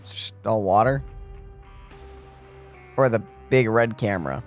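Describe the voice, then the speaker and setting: A man's voice: on the low side, measured pace. A man co-hosting a let's play with casual, wry commentary. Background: faint game music.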